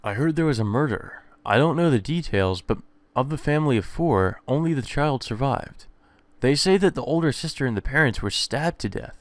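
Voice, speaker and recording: A man voicing a polite high school boy, a priest's son with formal phrasing, reading visual novel dialogue aloud. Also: lively intonation, moderate pace, no room sound, natural voice. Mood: serious. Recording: background music under the voice.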